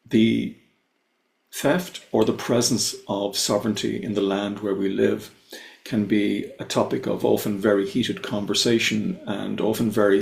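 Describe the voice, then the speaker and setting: A low, gravelly Irish man speaks with measured reverence and lingers on the words that matter to him. He comes over a video call from an echoey room. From Ireland. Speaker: invited speaker. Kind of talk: slow, reverent spiritual talk. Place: his home, on a video call.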